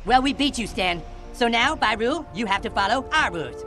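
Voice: medium pitch, animated, brisk, pushed and bright. A voice actor playing a defensive, stubborn cartoon boy, over game music.

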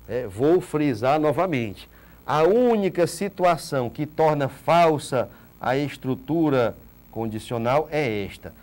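A man in his thirties from northeastern Brazil, giving a recorded exam-prep lecture in a studio and answering his own rhetorical questions. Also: fairly high pitch. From Ceará, Brazil. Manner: animated, asks rhetorical questions and repeats key points. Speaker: teacher. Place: green studio set.

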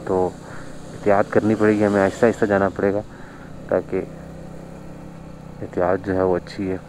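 A man's voice: low-pitched, flat delivery, moderate pace, a little mellow, slight background noise, low-quality recording. A man chatting casually while riding a motorbike outdoors on a snowy mountain road, marveling at the scenery and chuckling often. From Pakistan. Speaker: vlogger. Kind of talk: casual motorbike ride commentary.

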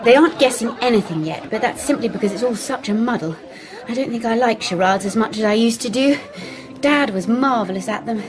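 Radio drama daughter woman performer: young, forthright, spirited, high-pitched with lively swings. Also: a little fast, a crowd in the background, lightly acted, low-quality recording. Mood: bossy. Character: spirited, outspoken young woman of 1919 family. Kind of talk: period radio drama acting.

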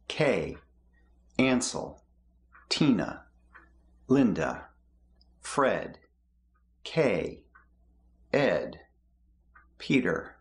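A middle-aged man reading the prayers of the people in a measured, steady way from his home office. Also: fairly low pitch. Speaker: lay prayer leader.

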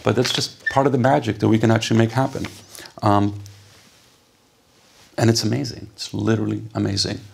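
Polish-born guy in his 50s talking on stage, lower voice, kinda gravelly, lots of ums. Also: fairly quick, long pauses, a little hiss or hum. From Poland. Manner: animated, gesturing, conversational with many fillers. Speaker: radio DJ giving a stage talk. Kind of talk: storytelling talk on stage. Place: stage before a seated audience in a dark hall.

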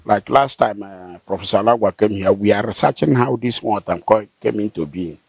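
An older man with a southern Nigerian accent, explaining local history conversationally and haltingly, with affirming hums. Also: fairly low pitch, creaky, breathy edge, no room sound. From Rivers State, southern Nigeria. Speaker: interviewee, local elder. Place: outdoors, seated by corrugated metal fence. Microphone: handheld interview mic held by host.

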